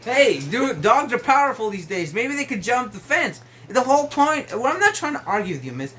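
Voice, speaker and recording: A woman's voice: fairly high pitch, very animated, moderate pace, a bit bright, slight background noise. A woman playing an aggrieved, stubborn male neighbor, argumentative and repetitive, in an improvised prank phone call. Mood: indignant, complaining.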